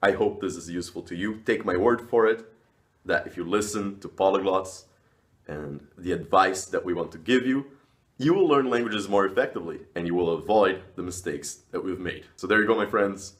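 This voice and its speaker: A man with a deep, low voice talks straight to his viewers in a language-learning vlog. He speaks in an earnest, measured way, often pausing on "uh" and "you know", his clear throughout. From Brazil. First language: Portuguese. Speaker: language vlogger. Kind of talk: talking-head video advice.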